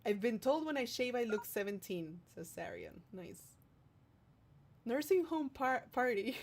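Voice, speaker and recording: A woman's voice: mid-range voice, a little mellow. Playful woman chatting on a livestream. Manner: affectionate, playful, giggly asides to viewers. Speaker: streamer hosting a livestream, singer-songwriter. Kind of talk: casual livestream chat, reading out viewer comments.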